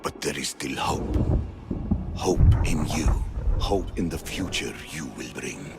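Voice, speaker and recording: An actor playing a solemn older fatherly mentor in a video game cutscene, speaking in a slow, portentous, gravelly voice. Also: rumbling low, lively intonation, heavily acted. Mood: urgent, hopeful. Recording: loud music under the voice.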